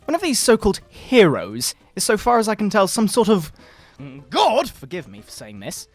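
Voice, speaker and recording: Man acting as outraged fundamentalist preacher, sanctimonious, pompous, highish animated voice, theatrical drawn-out emphasis, comic sermon, music behind. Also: no room sound, full character voice. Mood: indignant. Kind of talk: mock-dramatic reading of a moral-panic sermon. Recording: background video game music.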